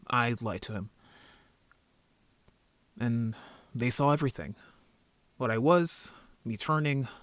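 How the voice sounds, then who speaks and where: A man plays an injured young male werewolf in an audio drama. He speaks in casual, halting, earnest phrases with a defensive, embarrassed edge. The voice is mid-pitched and recorded very dry. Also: dry recording.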